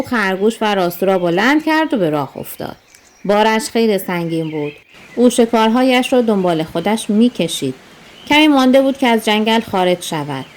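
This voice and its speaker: A woman narrating a scripted children's story in an expressive, storytelling manner. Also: medium pitch.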